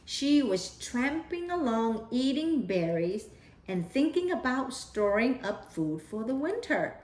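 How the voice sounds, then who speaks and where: A woman's voice: on the high side, very animated, slow pace, a little mellow. A woman storyteller reading a children's picture book aloud. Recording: roomy echo.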